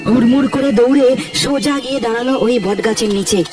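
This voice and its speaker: A man's voice: very high voice, a little fast, recorded from a distance, background noise. A man narrates a scripted ghost story in a dramatic storytelling style, with music behind him.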